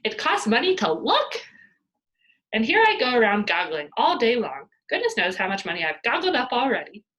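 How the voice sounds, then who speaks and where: A woman's voice: on the high side, very animated, moderate pace, fairly bright tone. A woman reading a storybook and voicing a bold, naive little girl who is matter-of-fact and boastful. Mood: astonished.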